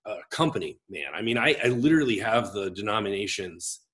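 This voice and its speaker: Middle-aged man, a pastor, chatty interview guest, big expressive gregarious voice. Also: on the low side, a little fast. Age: 40s. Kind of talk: casual conversational interview.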